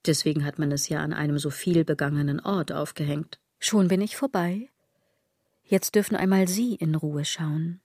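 A low-pitched, sardonic woman delivers a literary radio monologue in a close, dry sound. She is deliberate and declamatory, musing straight at the listener and letting phrase ends sink almost to a whisper. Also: fairly even intonation, a little mellow, no echo. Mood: wry, reflective. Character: musing, sardonic female speaker addressing the listener directly.

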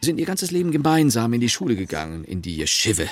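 A man's voice: medium pitch, very animated, fast talker. A man playing a middle-aged, wry, sardonic husband and father who narrates a radio play in a conversational way. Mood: wry, reflective. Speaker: narrator and character.

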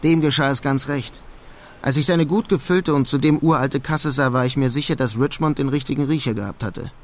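An actor playing a proud, wry, disillusioned would-be artist turned small-time crook in a German radio crime drama. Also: male voice, medium pitch, a little fast, natural voice. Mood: reflective.

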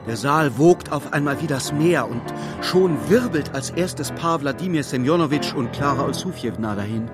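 An actor as the narrator of a literary radio play, telling the story precisely and with dramatic suspense. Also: male voice, on the high side, lively intonation, normal speed, few pauses, close to an everyday voice. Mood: brisk, excited. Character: male storybook narrator, vivid and urgent observer. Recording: studio radio play with music.

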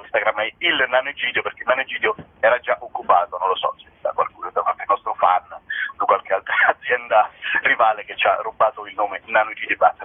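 A man in a casual interview as a theatre comedian, talking in a hesitant, wry, rambling way. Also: medium pitch. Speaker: theatre comedian and writer presenting a show. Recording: narrow-band telephone line, radio interview.

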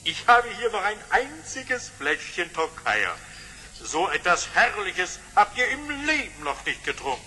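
Haughty sultan, radio play, slow theatrical delivery, lively highish voice, reverberant hall. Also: male voice, full character voice. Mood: jovial, boastful. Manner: grand, drawn-out, theatrical delivery. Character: haughty, jovial, doubting Ottoman sultan. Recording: long reverberant hall, faint noise.

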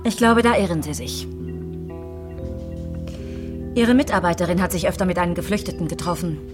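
With music behind her, an actress plays a female police detective in a radio drama. Her voice is on the low side, dry and cool, with pointed irony and no give. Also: normal speed, close to an everyday voice.

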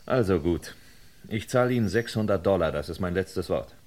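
An actor playing a wary, determined man who speaks in a measured, insistent, questioning way in a German radio crime drama. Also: low voice, animated, a little mellow. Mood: firm, bargaining. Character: wary, determined man bargaining and probing an old murder.

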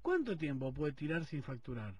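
A man's voice: a little high, sing-song, normal speed, husky, no echo, recorded from a distance. A man with a Rioplatense accent hosting a morning radio show, interviewing a caller in a joking, teasing way. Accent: Rioplatense Spanish. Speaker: radio host. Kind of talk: casual phone interview. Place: radio studio.

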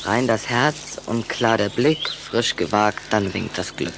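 An actor playing an adventurous schoolboy in a German children's radio play, talking to himself in a measured way. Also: male voice, lowish voice, noisy background. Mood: solemn. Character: Anton, adventurous schoolboy creeping through a vampire's lair. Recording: echoey, distant, under loud music.

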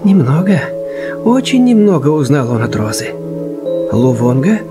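A man narrating a scripted radio drama as storyteller. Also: fairly high pitch.

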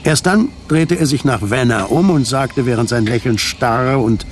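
A man narrating a scripted radio drama in a measured, precise storytelling manner. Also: medium pitch. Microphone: studio microphone. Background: music.

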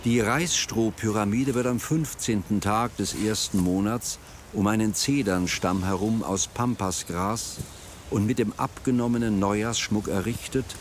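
Low-pitched man narrating over ambient noise. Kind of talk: scripted literary narration of a documentary-style radio play.

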